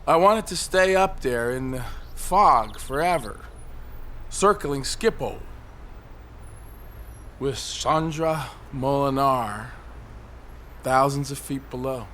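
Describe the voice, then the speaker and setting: An actor playing a despairing, agitated man perched on a high ledge in an audio drama, rambling on and given to outbursts. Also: slow pace, long pauses. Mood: wistful, rambling. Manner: rambling, wistful, bursting into shouts. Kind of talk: audio drama monologue and dialogue. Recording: faint city traffic noise behind.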